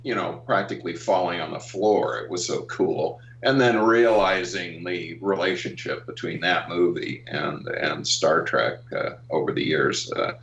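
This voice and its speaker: An older American man reminiscing in a casual interview, speaking haltingly with frequent pauses and ums. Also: deep voice, creaky, fairly bright tone. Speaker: interview guest, animation artist and art director. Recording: roomy, dull, distant call-like audio.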